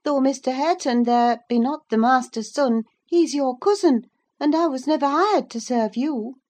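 A woman's voice: high-pitched, moderate pace, a little mellow, pitched above the performer's own voice. A woman voicing a proud servant woman in an audiobook, firm and scolding. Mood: reproving. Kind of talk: audiobook character voice.

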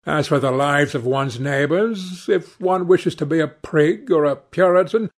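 A man's voice: mid-range voice, voice pushed up, close to an everyday voice. A man voicing a witty, cynical aristocrat in an audiobook, with a nonchalant, pompous, epigrammatic manner. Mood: smug, amused. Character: languid witty cynical male aristocrat, urbane and teasing. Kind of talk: audiobook character dialogue.

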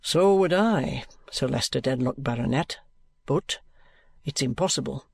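A man's voice: mid-range voice, normal speed, vocal fry, husky, no room sound. A man voicing a shrewd, patient middle-aged police detective who presses his point steadily and respectfully. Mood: patient, insistent. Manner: steady, persistent, respectfully insistent. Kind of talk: audiobook character reading.

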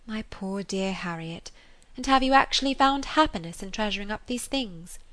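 A woman voicing a composed, judicious, gently superior young lady in a storybook, speaking in a measured, admonishing manner. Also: mid-range voice, animated, lightly acted. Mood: gently amused. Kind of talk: dramatised audiobook character reading.

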